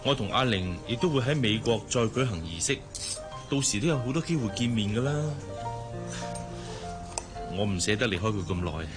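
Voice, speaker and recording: A male actor playing an affluent, patient fiancé in a Cantonese radio drama, reasoning gently and confidently in a Hong Kong accent. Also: lowish voice, expressive, moderate pace, long pauses, close to an everyday voice. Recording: music behind the voice.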